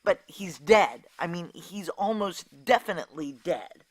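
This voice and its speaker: A woman's voice: on the low side, expressive, measured pace. An actress playing a guilt-worn, blunt female operative on the run in a noir audio drama, wry and terse. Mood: agitated, insistent. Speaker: narrator and lead character. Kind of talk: noir audio drama acting, first-person narration.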